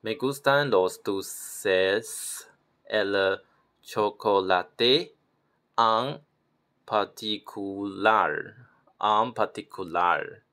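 A man from Taiwan, practicing pronunciation slowly and repeating words as he corrects himself. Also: fairly low pitch. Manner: slow, repeats words, self-correcting with soft laughs. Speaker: language learner. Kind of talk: self-recorded pronunciation practice.

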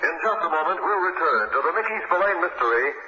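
A man's voice: fairly high pitch, big pitch swings, quick pace, crowd noise. A man announcing in the style of a 1950s radio mystery show. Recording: muffled, noisy, echoey 1950s radio recording.

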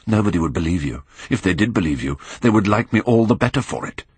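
A man voicing a proud young Victorian gentleman in an audiobook, hard, contemptuous and bitterly mocking. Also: on the low side, expressive, quick pace, husky, no room sound. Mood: defiant mockery.

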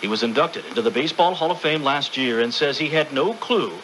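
A man's voice: mid-range voice, expressive, a little fast, fairly bright tone, a little hiss or hum, poor audio. A man reading scripted narration for a radio documentary.

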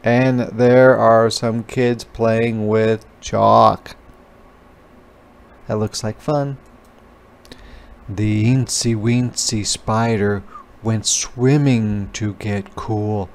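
A man reading a children's storybook aloud as a teacher, pausing to explain words. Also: fairly low pitch, fairly even intonation, slight background noise.

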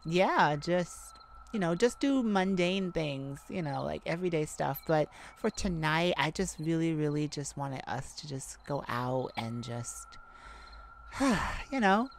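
A jovial, loving girlfriend, played by a woman chats intimately in an ASMR roleplay. Her voice sits slightly low and she talks slowly, with playful affection. Ambient sound effects run behind her. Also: lively intonation. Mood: tender, relaxed. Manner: playful, affectionate, chatty with frequent giggles. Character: jovial, affectionate girlfriend treating partner to a night out. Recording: clear background ambient noise.

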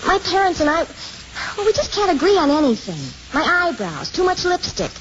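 High-voiced defiant young runaway. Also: female voice, very animated, a little fast, a bit bright, exaggerated voice. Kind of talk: 1950s radio acting. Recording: muffled, faintly noisy 1950s radio recording.

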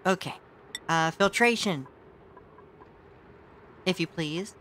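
A woman streaming a game with casual, playful commentary, laughing often, her voice a little hoarse and sore. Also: lowish voice, slow pace, a bit bright, slight background noise. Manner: giggly, playful, exclaims at the game.

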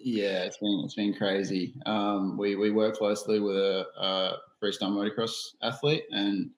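Aussie filmmaker guy, chill, low flat voice, roomy echo. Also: moderate pace. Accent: Australian. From Australia. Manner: relaxed, laid-back with repeats. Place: studio office.

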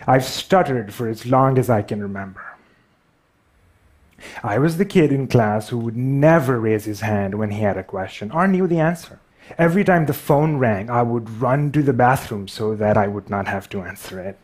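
A man's voice: mid-range voice, expressive, normal speed, a little mellow. A man in his thirties speaks with a Pakistani accent in a mid-range voice. He gives a stage talk in a large theater with wry, self-deprecating humor. A headset mic picks him up.

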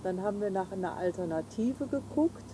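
An older woman chats easily to a camera a few meters off, sitting outdoors on a campsite lawn. Her talk is loose and friendly, full of little fillers, with clear outdoor noise underneath. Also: medium pitch, expressive, fairly quick. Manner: chatty, frequent fillers, finishing each other's sentences. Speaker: camping vlog co-host. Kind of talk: casual chat to camera. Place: outdoors on a campsite lawn beside a camper van. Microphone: camera mic from a few meters.

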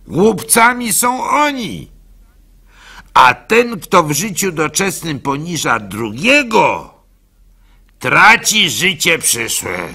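A man voicing a solemn, wise rabbi who gives counsel in a slow, emphatic, declaiming manner for a radio play. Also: fairly high pitch, sing-song, sharp tone, husky, voice pushed up, full character voice.

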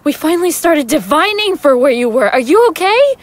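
A woman's voice: high-pitched, sing-song, fairly quick, dry recording. A woman acting in an audio drama as a friend who is secretly an otherworldly being. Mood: worried, relieved.

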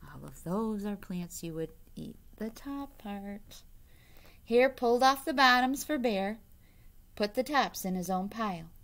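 A woman's voice: mid-range voice, animated, measured pace, fairly bright tone. A middle-aged woman, a teacher, reading a children's story aloud expressively in a classroom. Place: indoor classroom.

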